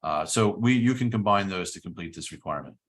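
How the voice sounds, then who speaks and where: A man's voice: deep voice, lively intonation, fast, a bit bright. A middle-aged man giving an informational presentation about a study program, explaining step by step with frequent fillers. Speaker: program director.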